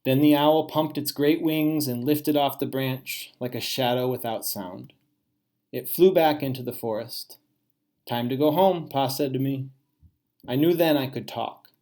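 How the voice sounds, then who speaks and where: A man's voice: mid-range voice, a little slow, a little mellow. Man reading a children's storybook aloud.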